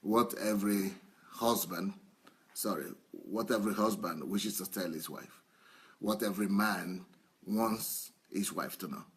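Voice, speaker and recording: A man's voice: fairly low pitch, unhurried, a bit bright, husky. A man, a pastor, teasing his congregation in a playful church talk before his sermon. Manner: playful, jokes and laughs often.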